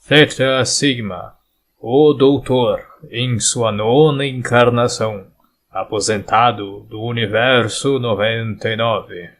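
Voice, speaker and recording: Man playing a slow, solemn ceremonial herald. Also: medium pitch, fairly bright tone, slight background noise, pitched below the performer's own voice. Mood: solemn, formal. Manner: formal, measured, proclaiming. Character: solemn ceremonial male palace guard announcing honoured guests. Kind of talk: audio drama herald announcement.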